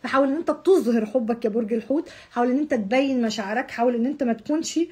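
Hoarse, fast-talking Egyptian woman. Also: on the high side, darkish tone. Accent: Egyptian Arabic. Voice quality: husky. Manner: chatty, repeats words, addresses the viewer directly, gestures with hands. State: hoarse from shouting. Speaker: astrology channel host. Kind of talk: casual monthly horoscope reading. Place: home room with curtain backdrop.

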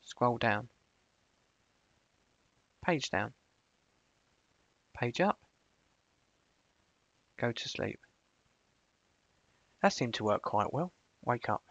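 A man presents a software screencast at an unhurried, slow pace. His delivery moves between casual explanation and short, clipped dictation commands. His mid-pitched voice is recorded dry, close to the room's silence, with a slightly muffled tone. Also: expressive, no room sound. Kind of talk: screencast tutorial, spoken voice commands.

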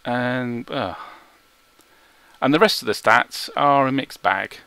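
A man giving measured, explanatory video game commentary in a self-deprecating way, recorded as a close-mic voice-over. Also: mid-range voice, gravelly, pushed and bright, clear, resonant tone, dry recording. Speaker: gaming video creator.

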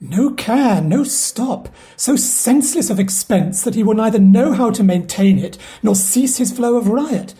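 A woman's voice: fairly high pitch, expressive, unhurried, hardly any pauses, dark tone. A woman reading the part of a worried, exasperated household steward in a Shakespeare audio play.

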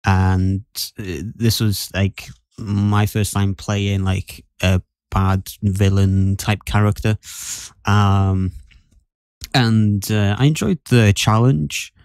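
A man in a casual podcast discussion, speaking in a hesitant, halting way as he talks about his acting. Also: on the low side, steady tone, darkish tone, clean tone, no room sound, clean studio sound. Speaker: podcast guest actor.

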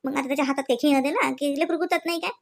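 A woman's voice: high voice, fairly even intonation, racing, gravelly. A woman voicing an aggrieved young village wife in a comedy cartoon, rambling and complaining with a Varhadi Marathi accent. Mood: exasperated.

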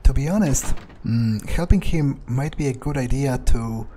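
Very mellow man, musing hesitantly. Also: medium pitch, unhurried, slight background noise. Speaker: gamer role-playing his character. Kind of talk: in-character game playthrough, thinking aloud. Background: game music.

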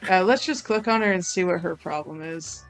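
A woman's voice: fairly low pitch, a little fast. A woman co-hosting a gaming video, commenting casually with joking, mock-dramatic delivery. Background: music.

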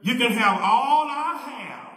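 A man's voice: very high voice, expressive, takes it slowly, a bit bright. A man preaching a sermon from a church pulpit into a handheld mic, swinging into a sing-song whoop. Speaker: pastor. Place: large church sanctuary, at a pulpit. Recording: echoey sanctuary with long reverb.

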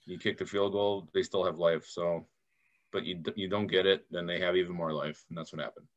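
Low-voiced man, wry football chat. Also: fairly even intonation, brisk, lo-fi sound. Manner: frank, wry jokes, repeats himself. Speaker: co-host of a sports fan show. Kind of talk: casual postgame sports chat. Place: home room.

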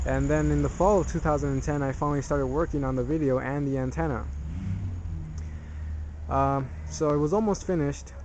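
Man, mid-pitched voice, casual DIY instructor, halting informal explanations, faint ambient noise behind. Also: a little mellow, rough recording. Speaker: tutorial presenter. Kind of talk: casual hands-on DIY tutorial.

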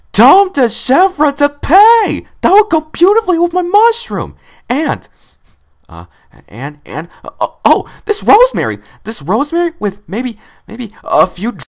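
A man voicing an excitable male rat gourmet in a cartoon fandub, passionate and fussy about food, gushing and stammering. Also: very high voice, voice pushed up, full character voice. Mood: delighted. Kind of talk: animated film fandub acting.

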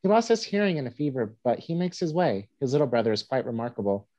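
A man's voice: medium pitch, lively intonation, fast talker, mellow, natural voice, rough recording. A young man reading the part of a wry frontier newspaperman, giving a florid monologue in a staged play reading. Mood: wry, musing. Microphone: video call.

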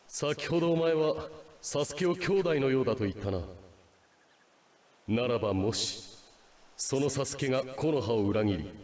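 A man playing a grave, composed ninja in an anime game, calm, terse and authoritative. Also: mid-range voice, moderate pace, pauses often, lightly acted. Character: grave, composed male ninja, guarded elder brother. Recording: game dialogue over music.

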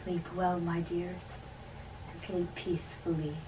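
A young woman reads a play aloud at a slow, theatrical pace. She is some way from the camera mic in a bedroom, with faint noise in the recording. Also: fairly low pitch, little pitch movement, recorded from a distance. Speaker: amateur reader of a play. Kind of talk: dramatic reading of a play. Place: small bedroom, indoors, seated on a bed. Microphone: camera a few metres away.

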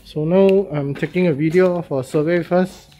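A man, a real estate agent walking through a property and talking casually, halting and repeating himself with many fillers as he goes. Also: fairly high pitch, warm tone, slight background noise. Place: walking through a house and yard, in and out of doors.

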